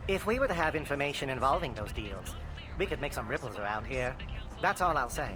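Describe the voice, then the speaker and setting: A man playing a sly, smooth-talking information dealer in a video game, coy and insinuating in his salesmanship. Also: mid-range voice, very animated, fairly quick, lightly acted. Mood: sly, persuasive. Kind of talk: video game dialogue acting.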